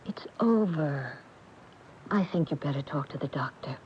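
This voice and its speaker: Emotional wife, muffled radio drama. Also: female voice, low voice, expressive, unhurried. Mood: tender, anxious. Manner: pleading, emotional. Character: anxious, emotional wife, tender and overwrought. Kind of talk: melodramatic 1980 radio acting. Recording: muffled noisy AM radio broadcast.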